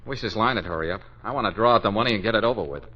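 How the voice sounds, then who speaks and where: A man's voice: fairly low pitch, racing, pushed and bright. An actor playing a hapless, anxious man sinking into money trouble, with rueful asides, in 1940s radio comedy-drama style. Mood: glum, resigned. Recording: muffled vintage radio recording.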